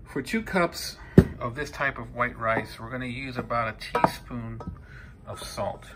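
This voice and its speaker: A man in his fifties from Peru talks through a recipe at a medium pitch, chatty and explanatory, often pausing with "uh" and correcting himself. He is recorded on a phone in his home kitchen, with clear background noise under his voice. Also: steady tone. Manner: explanatory, conversational, with frequent fillers and self-corrections. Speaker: home cooking channel host. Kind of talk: step-by-step cooking demonstration.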